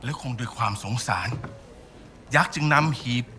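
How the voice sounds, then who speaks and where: A man's voice: mid-range voice, racing, sharp tone, a little hiss or hum, close to an everyday voice. An actor playing an earnest Thai village man who tells old legends in a game cutscene. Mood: solemn storytelling. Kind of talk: cinematic game cutscene acting.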